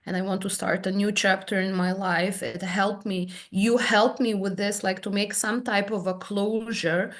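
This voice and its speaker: A woman, a therapy client describing her experience in halting, searching speech full of restarts. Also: medium pitch, level tone. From Serbia. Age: 30s. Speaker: hypnotherapy client guest. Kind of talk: personal testimony in conversation.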